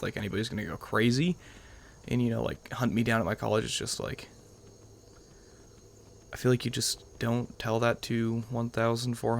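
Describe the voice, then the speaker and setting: A young American man chats casually through a video game, often rambling and qualifying himself. His mid-pitched voice has plenty of vocal fry, over faint game ambience. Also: a little hiss or hum. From New York, United States. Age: young adult. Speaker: gaming channel host. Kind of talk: casual let's-play commentary.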